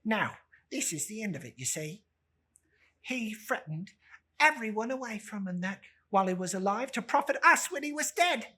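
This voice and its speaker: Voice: medium pitch, big pitch swings, a little slow, long pauses, bright, forceful tone, husky, pitched above the performer's own voice, exaggerated voice. A performer voicing a coarse, greedy, gloating charwoman looter with brazen, scornful working-class speech in a dramatic Victorian storybook reading. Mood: gleeful, cackling.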